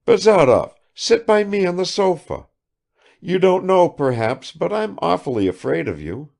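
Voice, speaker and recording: A man putting on a gushing high-pitched society lady. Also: sing-song, gravelly, darkish tone, full character voice. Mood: gushing chatter. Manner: gushing, rapid-fire questions. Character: affected chattering provincial woman.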